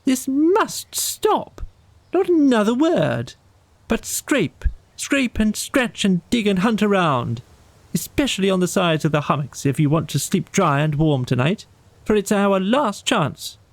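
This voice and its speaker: Man voicing an excitable, high-pitched storybook water rat. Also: unhurried, dry recording, exaggerated voice. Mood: angry, urgent. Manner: urgent, commanding, impatient. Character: excitable, bossy, exasperated male water rat. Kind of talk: animal character in a children's storybook.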